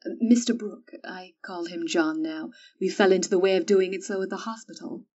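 A woman playing a calm, wise mother of four daughters, who speaks in a soothing, measured way. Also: on the low side, far from the mic, dull audio, natural voice. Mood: gentle.

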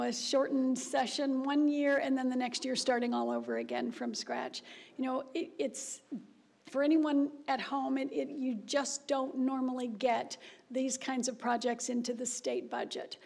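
A woman's voice: sits high, creaky. A middle-aged woman chairing a city council meeting at a desk microphone, speaking with frequent restarts. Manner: warm praise, repeated restarts. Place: council chamber.

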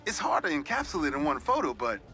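An actor playing a proud, warm newspaperman of mature years, folksy and wry, in a video game. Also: on the high side, wildly swooping pitch, quick pace, faint music behind, full character voice. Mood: fond, proud. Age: middle-aged. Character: warm, proud older newspaperman who loves his city. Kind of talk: video game voice acting, spoken commentary.

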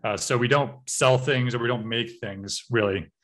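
An American man in his thirties giving an online cybersecurity workshop over slides, speaking in a relaxed way with frequent uh and um fillers. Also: mid-range voice, normal speed. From United States. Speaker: cybersecurity trainer.

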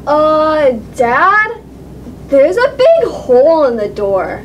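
An impatient little girl in a puppet show, acted by a woman, with a very high, swooping voice. She pleads dramatically and bosses people about with cheek. There is clear background noise on the recording. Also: big pitch swings, takes it slowly, exaggerated voice. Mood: incredulous. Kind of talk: children's puppet show acting.

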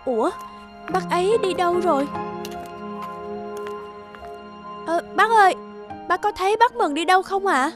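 A devoted young village woman from a cartoon folk tale. Her very high, gentle voice pleads earnestly and respectfully, over music. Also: expressive, brisk. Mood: worried. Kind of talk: animated folk tale voice acting. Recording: loud music behind the voice.

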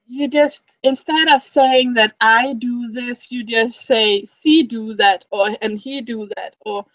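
A woman's voice: on the high side, fairly even intonation, slow, fairly bright tone, no echo, lo-fi sound. A young woman from Denmark with a Danish accent, speaking English as her second language, rambling with many restarts in a casual interview over a video call. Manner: rambling, frequent restarts and fillers. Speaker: interviewee, fan fiction writer. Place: small indoor room. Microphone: webcam mic on a video call.

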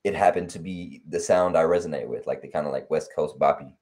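Young man from LA, very low and mellow voice, loose rambling chat over a headset call. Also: brisk. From Los Angeles. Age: young adult. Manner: relaxed, rambling with many fillers. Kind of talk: casual chat. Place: home room on video call. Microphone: headset on video call.

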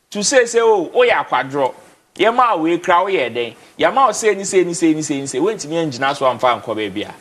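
A young man with a Ghanaian accent and a high, light voice talks animatedly from a TV studio chair. He slides between Twi and English and doubles up words as he presses his points, with a lapel mic catching him up close. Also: a bit bright. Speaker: studio guest. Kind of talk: casual TV studio discussion. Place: TV studio, indoors.

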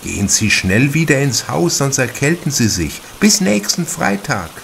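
A man's voice: mid-range voice, very animated, normal speed, vocal fry, fairly bright tone, slight background noise, pitched above the performer's own voice. A man voicing a worried housewife and mother, polite and urging, in an audiobook. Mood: solicitous.

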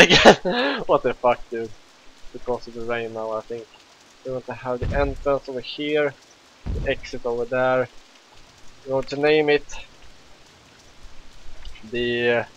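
Young Swedish-accented gamer, chatty and excitable, mid-pitched, slightly muffled home mic. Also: male voice. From Sweden. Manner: chatty, excitable, swears freely. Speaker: let's play gamer. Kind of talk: casual gameplay commentary.

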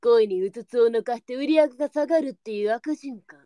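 A woman's voice: on the high side, quick pace, warm tone, no echo, studio quality, lightly acted. A woman playing a stern, nagging brothel madam with a motherly streak, speaking bluntly in old-fashioned scolding phrases.

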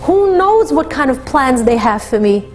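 A woman's voice: high-pitched, very animated, measured pace, soft background music. A woman voicing a frightened twelve-year-old girl held captive, pleading and tearful.

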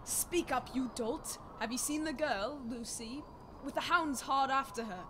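A woman's voice: high-pitched, measured pace, noisy background. A woman playing a bold, impatient horseman in a radio drama, commanding and exclamatory. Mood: uneasy, commanding. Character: bold, impatient horseman urging his frightened companion on. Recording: loud ambient sound effects behind.

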